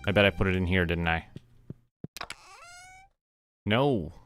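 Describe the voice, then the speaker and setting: Chill guy streaming a game, lowish voice, kinda talking to himself, faint music behind. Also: slow pace, a bit bright. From Canada. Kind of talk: casual gameplay commentary.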